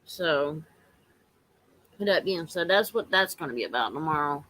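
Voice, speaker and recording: Hoarse woman chatting on a live stream. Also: sits low, lively intonation, pushed and bright, poor audio. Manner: halting, repetitive, earnest. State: hoarse from allergies. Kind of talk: casual live-stream chat.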